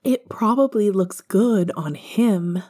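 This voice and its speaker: A woman's voice: medium pitch, slow pace, warm tone. A woman voicing a bossy, affectionate, teasing young woman friend in an audiobook. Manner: teasing, insistent. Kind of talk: audiobook fan fiction character dialogue.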